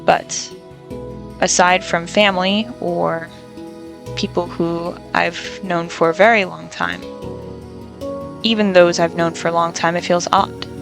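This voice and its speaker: A young woman speaks in a low, creaky voice with a flat, even delivery. She sounds reflective and measured, pausing often as she vents in a personal monologue. Faint music plays behind her. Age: young adult. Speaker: college student.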